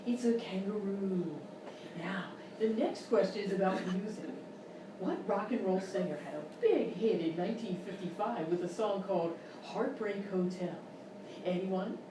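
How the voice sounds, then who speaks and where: A woman's voice: mid-range voice, moderate pace, lightly acted. A woman playing an exasperated, condescending quiz show host in a live radio-style comedy sketch. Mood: mock-polite. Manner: incredulous, scolding, mock-polite. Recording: very echoey live room, far mic, noisy.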